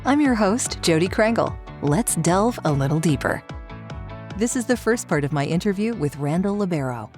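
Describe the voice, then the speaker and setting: A woman's voice: fairly low pitch, expressive, moderate pace, darkish tone, soft background music. A woman speaking as a podcast host and interviewer. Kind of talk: scripted podcast introduction, then interview.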